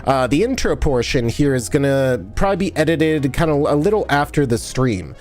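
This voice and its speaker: A man's voice: mid-range voice, animated, moderate pace, darkish tone, faint music behind. Sniffly man chatting on a live stream. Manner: rambling, chatty, reads and answers chat. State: sniffly, recovering from a cold. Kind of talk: casual live-stream chat while editing.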